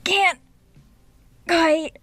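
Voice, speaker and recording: A woman's voice: very high voice, fairly even intonation, drawn-out words, fairly bright tone, slight background noise, heavily acted. An actress in an audio drama playing a stubborn, defensive woman novelist around thirty, with a clipped manner. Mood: muttering, uneasy. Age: young adult.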